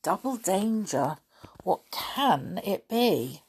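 A woman's voice: medium pitch, very animated, very slow, a little mellow, slightly rough, pitched above the performer's own voice, full character voice. A woman reading a storybook and voicing a bold, tomboyish girl who speaks in a spirited manner.

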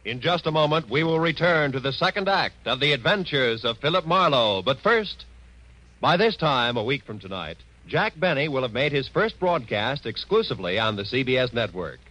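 Male network announcer, 1940s style, mid-pitched and animated, dull vintage recording. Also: normal speed. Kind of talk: 1940s radio announcing, scripted promo.

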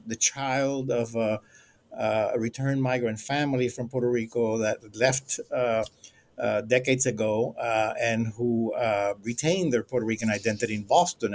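Middle-aged guy, scholar, talks slow and careful with lots of uhs, mid-pitched voice. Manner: measured, halting, many "uh" fillers. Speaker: guest scholar, co-editor. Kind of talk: academic interview answer.

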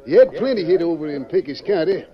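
A man's voice: on the high side, lively intonation, fast talker, crowd noise, natural voice. An actor playing a wary, laconic man posing as a stranger in town, in an old radio western. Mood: casual, confident. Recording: muffled vintage radio recording.

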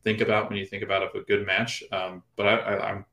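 A man's voice: lowish voice, flat delivery, a little fast, bright, forceful tone, reverberant. A man co-hosting a soccer podcast, giving his match pick in a loose, hedging way. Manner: hedging, many fillers and restarts. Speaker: podcast co-host. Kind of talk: casual sports chat.

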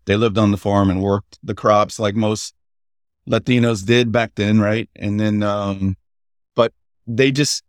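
A man's voice: lowish voice, steady tone, dry recording, studio quality. Middle-aged man telling family stories. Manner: halting, with many fillers and restarts. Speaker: guest. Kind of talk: casual interview storytelling.

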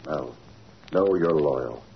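A man's voice: very deep voice, animated, slow, vocal fry, exaggerated voice. A man playing a domineering crooked businessman in a 1940s radio drama, commanding and contemptuous. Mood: suspicious, scornful. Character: domineering crooked businessman, a cold scheming boss. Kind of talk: 1940s radio villain acting. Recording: muffled, noisy old radio recording.

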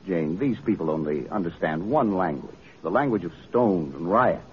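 Deep, animated gentleman, old radio. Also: normal speed. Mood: urgent warning. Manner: urgent, formal, emphatic. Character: worried, protective gentleman friend, skeptical and insistent. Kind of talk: old-time radio drama acting. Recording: dull vintage radio recording, faint noise.

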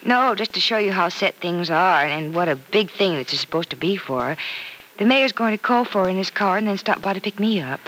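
Fretful young daughter, vintage radio soap, lively swooping pitch, noisy old recording. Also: female voice, brisk. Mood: worried, earnest. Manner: earnest, emphatic, fretful. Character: Penny, earnest young woman, worried and distressed, confiding in mother. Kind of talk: 1950s radio soap opera acting.

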